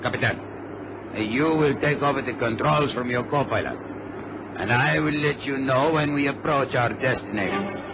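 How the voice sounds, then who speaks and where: A man's voice: mid-range voice, moderate pace, lightly acted. A man playing a polite but menacing hijacker in a radio drama. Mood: cool, commanding. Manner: courteous, coolly threatening. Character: polite, menacing Latin male hijacker with a gun. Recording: noisy, dull vintage radio recording.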